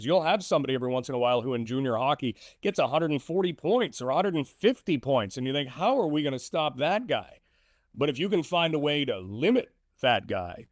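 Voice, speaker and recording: A man's voice: mid-range voice, no room sound, studio quality. A man, a sports broadcaster appearing as a guest, talks through hockey analysis conversationally, explaining with emphatic, repeated short phrases.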